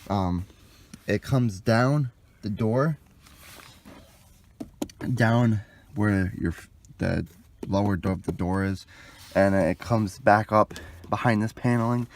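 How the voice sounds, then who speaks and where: A man's voice: lowish voice, slow, pauses often, a little hiss or hum. A young adult man giving a casual, informal and rambling hands-on walkthrough as a vlogger. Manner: informal, rambling, mild swearing. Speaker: vlogger demonstrating a car radio installation. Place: in and around a parked car outdoors.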